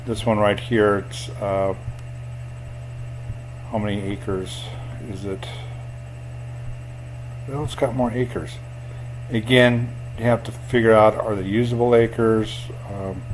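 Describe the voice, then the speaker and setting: Low-pitched man, flat and unhurried, for a screen tutorial with faint hum. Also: poor audio. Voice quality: self-described boring voice. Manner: plain, unhurried explaining with fillers.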